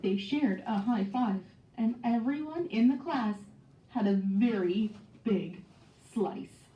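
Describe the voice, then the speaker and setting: A woman's voice: fairly high pitch, slow. A woman narrating a children's storybook expressively, acting out the dialogue as she goes. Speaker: storybook read-aloud narrator. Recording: dull, echoey, distant microphone.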